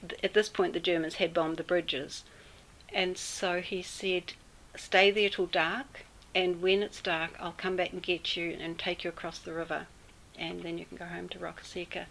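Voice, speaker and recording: A woman's voice: lowish voice, fairly bright tone, a little hiss or hum, rough recording. New Zealand woman telling a story. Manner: unhurried, with many fillers and pauses. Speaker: interviewee. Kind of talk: casual storytelling.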